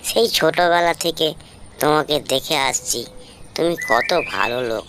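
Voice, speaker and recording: Man playing anxious young villager over noisy birdsong. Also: mid-range voice. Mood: confiding. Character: Ramesh, worried younger village man pleading for help. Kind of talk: cartoon ghost story dialogue. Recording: clear background noise with chirping birds.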